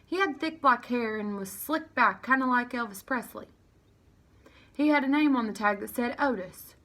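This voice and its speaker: A woman narrates a children's chapter book. Her voice is fairly high and clearly bright, with expressive read-aloud storytelling. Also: moderate pace.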